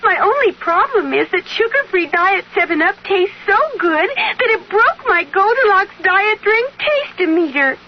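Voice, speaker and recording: Cute, chatty storybook miss, high and bouncy, radio spot, muffled sound with faint noise. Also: female voice, big pitch swings, a little slow, few pauses, heavily acted. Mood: perky, playful. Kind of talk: 1970s radio commercial acting.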